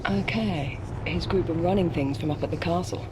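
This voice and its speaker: A woman's voice: on the low side, moderate pace, noisy background, lightly acted. A woman voicing an inquisitive journalist who asks polite but pointed questions in a game cutscene. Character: inquisitive female journalist, persistent and precise. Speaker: journalist interviewer. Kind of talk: voiced game cutscene dialogue.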